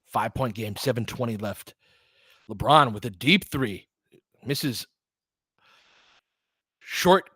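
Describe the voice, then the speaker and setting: Male basketball play-by-play host, medium pitch, casual and conversational analysis, very dry sound. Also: takes it slowly, pauses often, a bit bright, husky, dry recording. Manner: conversational, thinking aloud. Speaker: host, fan play-by-play commentator.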